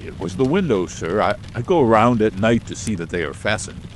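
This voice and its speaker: A man's voice: on the low side, lively intonation, brisk, a little hiss or hum, natural voice. A man voicing a frightened, secretive middle-aged butler who speaks in a deferential, pleading way. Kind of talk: audio drama acting.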